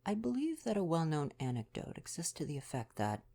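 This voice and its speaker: Gentle young man with a creaky, mellow voice. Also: a little high, big pitch swings, brisk. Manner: gentle, playful, affectionate. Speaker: ASMR roleplay performer and reader. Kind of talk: intimate ASMR boyfriend roleplay, casual chat and novel reading.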